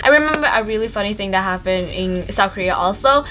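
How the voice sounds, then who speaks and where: A twenty-year-old woman from Sweden co-hosting a casual chat, relaxed and full of slang and "like". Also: medium pitch, fast. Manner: relaxed, trendy slang, many "like" fillers. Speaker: YouTube co-host.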